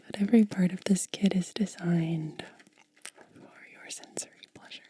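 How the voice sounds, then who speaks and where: Female ASMR host for whispered unboxing: very mellow, breathy, unhurried and hushed. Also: lowish voice, fairly even intonation. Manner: hushed, lingering delivery.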